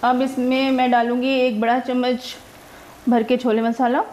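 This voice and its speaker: A woman's voice: fairly high pitch, level tone, measured pace, a little mellow. A woman narrating her own cooking video step by step, chatty and homely, explaining each step as she cooks in her home kitchen. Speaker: cooking vlogger.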